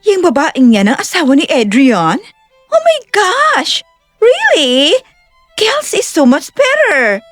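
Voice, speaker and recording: Casting: mocking young society snob voiced by a woman, high, swooping, affected, audio melodrama. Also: big pitch swings, slow, dry recording, faint music behind, full character voice. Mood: mocking disbelief. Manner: catty, affected, exclamatory. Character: snobbish, mocking young rich socialite woman.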